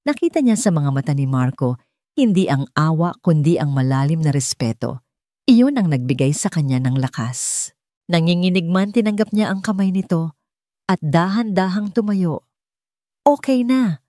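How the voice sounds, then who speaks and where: A woman's voice: fairly low pitch, lively intonation, moderate pace, warm tone, dry recording, clean studio sound. A woman with a Filipino accent reading a dramatic story as an audiobook narrator.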